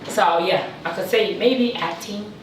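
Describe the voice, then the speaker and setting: A woman from South Africa, a teacher, chatting casually in an interview with a lively manner and frequent laughs. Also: mid-range voice, a little slow, a crowd in the background. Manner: lively, laughs often, animated when joking. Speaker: guest, teacher in China. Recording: echoey room, distant mic, faint noise.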